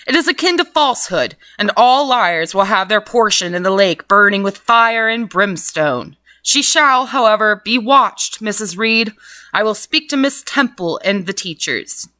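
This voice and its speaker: A woman's voice: mid-range voice, animated, unhurried, pushed and bright. A woman reading aloud the lines of a pompous, stern male clergyman in a storybook reading, with a preachy, moralizing manner. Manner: sanctimonious, moralizing.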